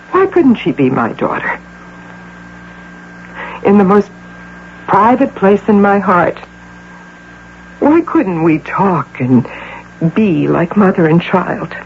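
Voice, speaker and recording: A woman's voice: fairly low pitch, gravelly, exaggerated voice. An actress playing a proud, anguished middle-aged businesswoman who clings to her fantasy, in impassioned, theatrical radio drama style full of rhetorical questions. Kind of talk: old-time radio drama acting. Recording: dull, noisy old radio broadcast.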